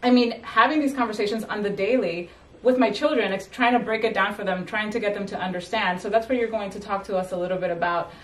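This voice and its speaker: A woman hosting an interview, speaking in a halting way with many fillers and restarts. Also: medium pitch, a bit bright, roomy sound, distant mic, slight background noise, poor audio. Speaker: host and magazine editor. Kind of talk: conversational interview.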